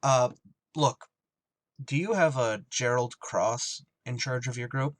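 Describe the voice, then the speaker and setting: An actor playing a somber, haunted shuttle pilot in an audio drama, grave and restrained. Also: male voice, medium pitch, slow pace, no echo, close to an everyday voice. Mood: urgent, controlled. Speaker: lead actor and narrator.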